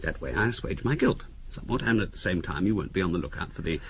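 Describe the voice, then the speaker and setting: Low-voiced, teasing English husband. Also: male voice, animated. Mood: teasing, provocative. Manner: wry, conversational, with deliberate pauses and hesitations. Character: teasing middle-class husband, dryly morbid, provocatively theorising. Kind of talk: 1970s radio drama acting. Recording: faint hiss on 1970s radio recording.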